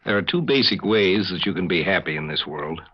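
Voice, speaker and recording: A man hosting a 1950s radio show, giving a conversational introduction with deliberate, hesitant pauses. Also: sits low, animated, breathy edge. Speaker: host. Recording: muffled 1950s radio recording.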